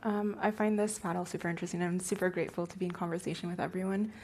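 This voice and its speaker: A woman panelist speaking in an articulate, measured way, explaining her ideas. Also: lowish voice, flat delivery, a little mellow, a little hiss or hum. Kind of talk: panel discussion.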